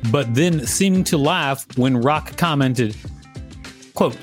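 A man's voice: mid-range voice, animated, takes it slowly. A man hosting a show, reading an encyclopedia-style article aloud. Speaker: podcast host and reader. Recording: music behind the voice. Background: background music.

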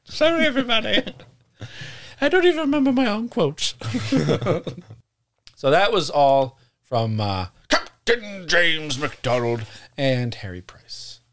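Male podcast host, mid-pitched, animated, chatty storyteller, laughs a lot. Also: slow pace, pauses often. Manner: reads from notes, laughs often, self-correcting asides.

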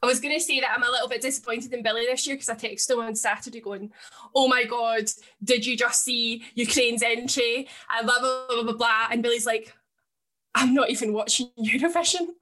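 A young woman hosting a casual podcast chat, giggly and quick to exclaim. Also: on the high side, steady tone, sharp tone. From Scotland. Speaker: podcast host.

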